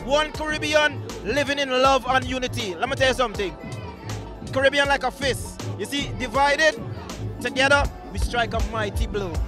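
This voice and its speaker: A man's voice: extremely high pitch, normal speed. Hyped man at a festival. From Guyana. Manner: excitable, shouting hype lines. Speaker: performer interviewed. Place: outdoor park festival. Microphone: handheld mic held by interviewer. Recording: outdoor festival with loud music.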